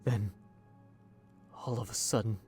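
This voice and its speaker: A man playing a drained, haunted victim of an enchantment in an audio drama, halting and subdued. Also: fairly low pitch, fairly even intonation, darkish tone, soft background music.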